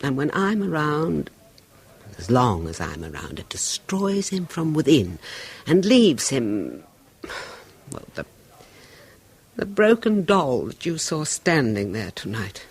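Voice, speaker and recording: Marianne, husky anguished wife. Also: female voice, sits low, big pitch swings, takes it slowly, long pauses, full character voice. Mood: haunted. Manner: intense, confiding, drops to hushed whispers. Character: anguished wife of a tormented violinist. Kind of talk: 1970s radio drama acting.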